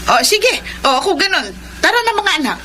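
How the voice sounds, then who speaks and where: High-voiced kindly mother. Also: sing-song, moderate pace. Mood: welcoming. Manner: motherly, concerned. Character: kindly, hospitable middle-aged mother. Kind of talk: Filipino radio drama acting.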